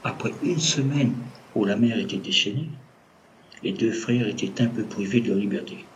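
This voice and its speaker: A man's voice: lowish voice, moderate pace, highs cut off, a little hiss or hum. A man narrating a scripted audio fiction as a storyteller.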